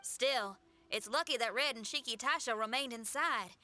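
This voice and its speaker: A woman's voice: a little high, normal speed, sharp tone. An actress playing a friendly, loyal young woman in a video game, with a folksy, casual manner. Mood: practical. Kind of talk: English video game voice acting.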